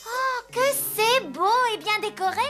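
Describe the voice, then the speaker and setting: A small female cartoon Smurf, sweet and caring. Her voice is extremely high and childlike. Music plays clearly behind her. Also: lively intonation, measured pace. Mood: cheerful, tender. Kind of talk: French animated cartoon dialogue.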